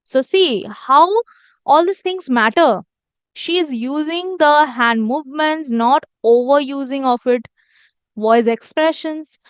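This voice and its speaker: A woman's voice: high-pitched, slow, no room sound. A woman giving an instructional lesson as a communication teacher, explaining emphatically and repeating phrases for stress. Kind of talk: instructional lesson with demonstrated lines.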